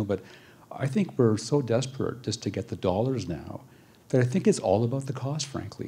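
A man's voice: on the low side, dark tone, slightly rough. A middle-aged male clinician speaking earnestly and with frustration into a handheld mic at a conference forum. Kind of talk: audience floor comment. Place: large conference hall.

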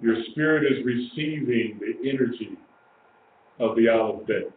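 A man's voice: medium pitch, measured pace. A man in his sixties giving an informal religious teaching, emphatic and repeating phrases for weight. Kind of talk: informal sermon, teaching to a small group. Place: small indoor room. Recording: echoey, tinny lo-fi webcam stream with hiss.

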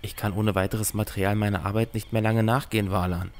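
Man as low-voiced, troubled village blacksmith. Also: little pitch movement, brisk, darkish tone, close to an everyday voice. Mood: urgent, worried.

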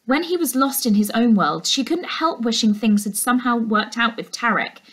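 A young woman reading a children's novel aloud as a teacher, in an expressive storytelling style. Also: fairly high pitch, normal speed. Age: young adult. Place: furnished indoor room.

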